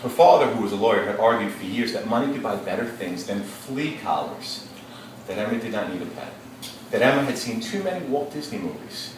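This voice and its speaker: A male teacher leading an interactive read-aloud, questioning his class in a lively, engaging way. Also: fairly low pitch, brisk. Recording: echoey classroom, distant mic, noisy.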